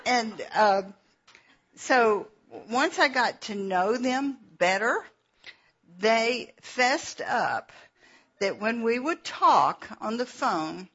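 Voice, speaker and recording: A woman's voice: a little high, animated, very slow, a bit bright, slightly rough. A middle-aged woman with a Southern Georgia accent, teaching a Bible session in a folksy, chatty way and laughing at herself as she tells stories. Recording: dull lo-fi recording.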